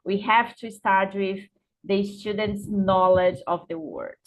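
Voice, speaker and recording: A Brazilian woman who teaches English speaks in a Brazilian Portuguese accent at a slow, unhurried pace. She answers questions thoughtfully, pausing and restarting often. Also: mid-range voice, steady tone, rough recording. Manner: thoughtful, many uh fillers and restarts. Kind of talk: answering students' questions over a video call.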